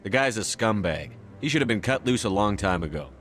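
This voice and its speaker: Deep, brisk, blunt commander. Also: male voice, animated, a bit bright. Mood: blunt disapproval. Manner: terse, direct, businesslike questioning. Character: male military commander, blunt, resolute, inquisitive. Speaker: player-character military commander in a video game. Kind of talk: scripted game dialogue. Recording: game dialogue over background music.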